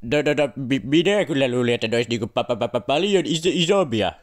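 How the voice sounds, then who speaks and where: A man's voice: mid-range voice, lively intonation, natural voice. A man voicing a bewildered, exasperated cartoon forest creature in a comedic amateur fandub, with a stammering, slangy delivery. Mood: bewildered, sarcastic.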